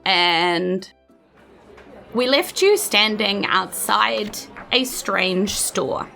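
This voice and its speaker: Slow-talking New Zealand woman as game master. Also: medium pitch, lively intonation, vocal fry, bright, forceful tone, faint music behind. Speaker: dungeon master, host. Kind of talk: chatty game narration, recapping a tabletop adventure.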